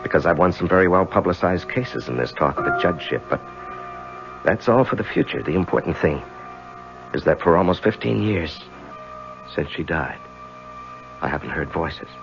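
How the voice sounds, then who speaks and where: Very deep, gravelly haunted lawyer. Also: male voice, lively intonation. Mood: brooding, uneasy. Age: middle-aged. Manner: halting, brooding. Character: guilt-haunted ambitious middle-aged male lawyer. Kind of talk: 1970s radio drama acting and narration. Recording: dull old radio recording, music behind.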